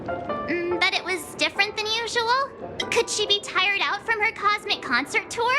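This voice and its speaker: An actress voicing a bubbly, excitable, chatty teenage girl in an anime-style video game, with animated, gushing, theatrical delivery. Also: very high voice, moderate pace. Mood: puzzled. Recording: loud music behind.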